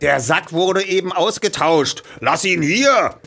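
A man voicing an indignant, demanding Santa Claus with a raspy voice in a dramatized storybook reading. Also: sits high, animated, takes it slowly, fairly bright tone, faint music behind, voice pushed up, exaggerated voice. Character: indignant Santa Claus confronting a thieving elf.

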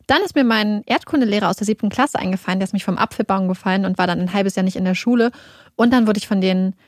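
A young woman chats casually on a podcast, telling a light, jokey anecdote. Her voice is mid-pitched and recorded dry and close in a studio. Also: fast talker, no echo. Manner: chatty, jokey storytelling. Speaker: podcast co-host. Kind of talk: casual chat. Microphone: studio microphone.

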